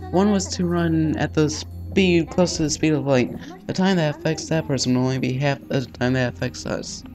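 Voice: medium pitch, darkish tone. A let's player reading visual novel text aloud in an informal way, stumbling over words and dropping in casual asides.

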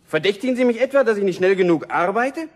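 An actor playing a jovial gas meter reader in a radio play. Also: male voice, sits high, animated, quick pace.

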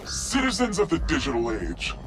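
A man playing a defiant hacker collective spokesman, delivering a dramatic, preachy and deliberate propaganda broadcast in a video game. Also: mid-range voice, huge pitch swings, rough recording. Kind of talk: scripted propaganda broadcast narration. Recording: music under the voice.

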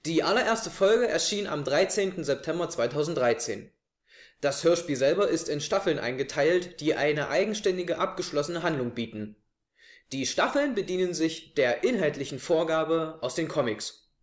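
A man's voice: a little high, expressive, moderate pace. A young man hosting a scripted review to camera from his living room. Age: young adult. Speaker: host. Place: small living room, on a sofa. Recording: echoey room, camera mic.

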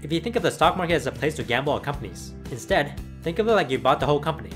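A man's voice: a little high, lively intonation, rapid-fire, a bit bright. A man narrating a scripted voice-over commentary, explaining points plainly. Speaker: channel narrator. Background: background music.

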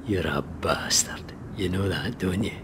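An actor playing a persuasive spy recruiter in a video game, informal, matey and insistent. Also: male voice, sits low, unhurried, noisy background. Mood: pressured. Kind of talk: video game cutscene acting.

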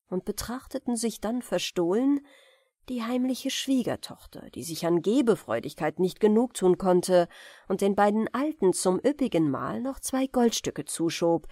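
A woman narrating a bedtime fairy-tale audiobook in an expressive storytelling manner. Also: a little high, dry recording, clean studio sound.

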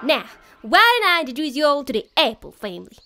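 A woman's voice: sits high, very animated, fast, sharp tone, faint music behind, full character voice. A woman voicing a hearty, friendly young female farm pony in a cartoon fan dub, with exuberant, folksy country talk.

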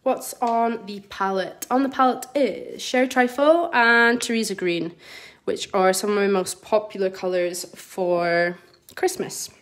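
A woman's voice: medium pitch, measured pace. A woman from Scotland with a mild Scottish accent, a nail artist giving a live tutorial and chatting to viewers as she works, recorded on a phone over her desk. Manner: chatty, reads out viewer comments while working. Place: indoor nail studio desk. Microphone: phone camera over the work table.

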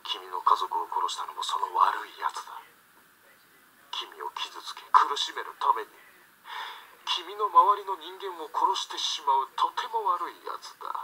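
A man's voice: a little high, animated, normal speed, sharp tone, breathy edge, exaggerated voice, poor audio. A man voice actor playing a sinister, manipulative presence in a visual novel monologue, insinuating and theatrical, heard through computer speakers. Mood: menacing. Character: sinister manipulative male voice, coaxing and menacing. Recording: game audio re-recorded from computer speakers.

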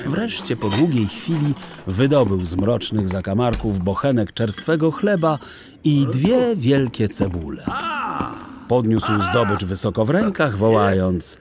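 Lively, expressive male storyteller. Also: mid-range voice, background noise. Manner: expressive, literary. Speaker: narrator. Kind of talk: scripted audiobook narration, storytelling for children.

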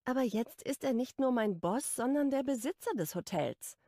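Woman narrator as a young woman confiding, wry and defensive, kinda stressed, creaky voice, audiobook. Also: high voice, lively intonation, moderate pace, dark tone, dry recording, clean studio sound, pitched above the performer's own voice. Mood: troubled, candid.

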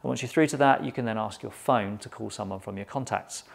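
A middle-aged British man presents a product review to camera in a measured, matter-of-fact way, recorded on a lapel mic in a small indoor studio. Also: fairly low pitch, vocal fry, slightly rough.

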